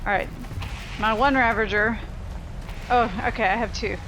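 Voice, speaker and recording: A woman's voice: fairly high pitch, fairly even intonation, normal speed, background noise. A woman giving casual commentary as she plays a video game, talking to herself and swearing offhandedly. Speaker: video game player recording a playthrough.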